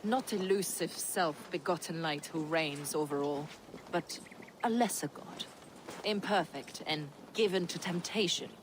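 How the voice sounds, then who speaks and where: A woman playing an earnest, learned zealot of faith in a video game, speaking in a measured, didactic way. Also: low voice, noisy background. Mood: earnest, reflective. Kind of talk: video game cutscene acting.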